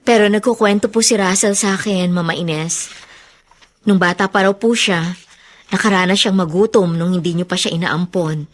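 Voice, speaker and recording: An actress playing a polite, earnest young daughter-in-law in a radio drama. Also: female voice, on the low side, normal speed, lightly acted. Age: young adult. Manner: respectful, earnest. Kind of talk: Filipino radio drama acting.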